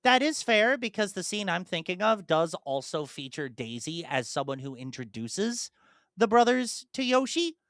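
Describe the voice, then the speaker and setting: A young man co-hosts a casual podcast, talking eagerly and circling back through his thoughts. His voice is bright and crisp, a little higher than most men's. The sound is close and dry. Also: big pitch swings, normal speed, no echo. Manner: enthusiastic, rambling with restarts. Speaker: podcast co-host. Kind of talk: casual chat.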